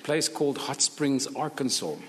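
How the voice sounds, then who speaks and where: A man preaches in short, measured phrases, leaving room for an interpreter. His pitch moves a lot, and the church hall around him gives a long echo. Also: expressive. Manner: speaks in short phrases, broken German asides. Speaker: guest preacher.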